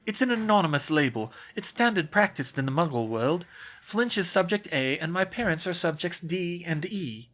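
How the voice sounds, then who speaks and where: A bright, earnest schoolgirl, as a man puts her on in a raised voice. She talks briskly and politely, pressing her case. Her pitch moves around a lot. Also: animated. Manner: brisk, persuasive.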